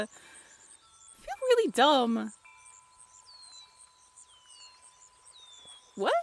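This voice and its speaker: Woman acting a high-voiced, playful young girlfriend. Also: full character voice. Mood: flustered, confused. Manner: giggly, teasing, halting and expressive. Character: playful, teasing young woman with her werewolf lover. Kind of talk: intimate roleplay audio drama, natural conversational acting.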